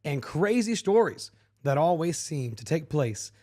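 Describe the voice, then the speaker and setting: Hoarse man co-hosting a podcast. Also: fairly high pitch, unhurried. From Florida. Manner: deadpan joking, frequent throat clearing. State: hoarse, gravelly voice. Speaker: podcast co-host. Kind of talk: casual comedic chat.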